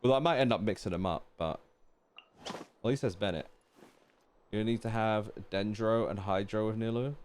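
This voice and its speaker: A man's voice: fairly low pitch, moderate pace, pauses often, a little mellow, a little hiss or hum. A man chatting casually as he streams a game, thinking aloud and putting questions to his viewers. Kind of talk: casual live-stream chat while playing a game. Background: game music.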